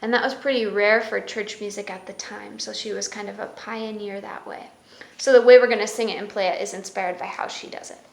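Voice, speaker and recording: A woman's voice: medium pitch, steady tone, brisk, vocal fry. A woman hosting a children's story time, reading aloud and explaining in a simple way. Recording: roomy echo with music.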